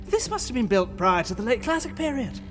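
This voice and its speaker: A man playing a pompous, excitable professor and explorer in an audio drama. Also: very high voice, fairly quick, music in the background. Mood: excited, scholarly. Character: pompous, zealous male professor-explorer of lost civilizations.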